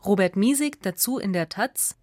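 A female narrator delivers a scripted essay for radio. Her delivery is measured and clearly articulated, with a factual tone and a medium pitch. The sound is close and dry, with no room around the voice. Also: expressive, a little mellow, no echo.

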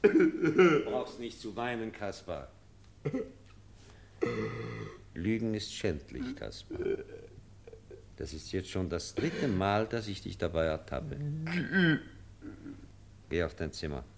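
A man's voice: sits low, big pitch swings, slow pace, pauses often, a little mellow, a little hiss or hum, heavily acted. A man playing a stern, worried professor in a radio play, emphatic and moralising in his delivery. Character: stern, worried schoolmaster professor, exasperated guardian of a foundling.

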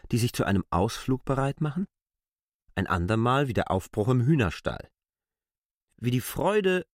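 A man narrating a literary radio drama as a reflective observer, in an expressive storytelling manner. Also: fairly low pitch, no echo, studio quality. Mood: reflective, delighted. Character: male narrator, reflective observer of a bustling absurd world. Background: music.

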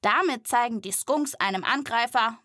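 High-voiced woman hosting for children. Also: normal speed, sharp tone, no room sound, studio quality. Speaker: children's podcast host and animal reporter. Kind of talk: lively scripted narration for children.

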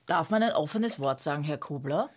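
A woman's voice: deep voice, expressive, brisk. An actress playing a nosy, gushing landlady with a Bavarian accent in a radio play, chatting in a colloquial way. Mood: confiding.

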